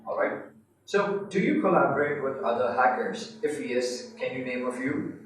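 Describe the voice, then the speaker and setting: A man's voice: mid-range voice, animated, moderate pace. A man interviewing a guest, asking brief, plain questions. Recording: very echoey, far from mic.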